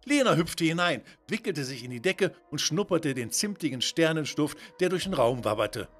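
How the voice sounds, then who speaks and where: Male narrator for a kids' audio tale, very animated, slightly high voice, playful delivery over music. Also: moderate pace. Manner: expressive, theatrical. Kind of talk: playful scripted children's story narration.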